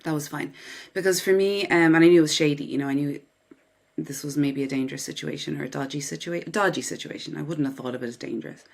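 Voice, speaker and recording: Low-voiced Irish woman telling her story. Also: fast, gravelly, a little mellow. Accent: Irish, Dublin. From Dublin, Ireland. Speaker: podcast guest, writer and psychotherapist. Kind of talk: personal account in an interview.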